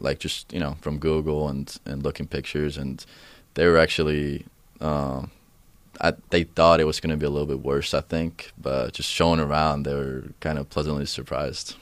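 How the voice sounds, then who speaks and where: A man's voice: rumbling low, fairly even intonation, moderate pace, no echo. A young man from Estonia, a soccer player answering interview questions in English, hesitant, with many fillers and restarts. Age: young adult.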